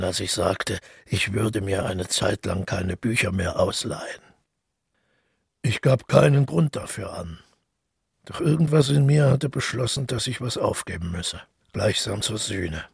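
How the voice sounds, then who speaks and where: Very husky, gravelly, solitary man. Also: on the low side, expressive, a little slow, no echo, studio quality, full character voice. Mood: brooding, matter-of-fact. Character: solitary, weary male murderer, calmly unrepentant, recalling his deed. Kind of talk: literary radio drama monologue.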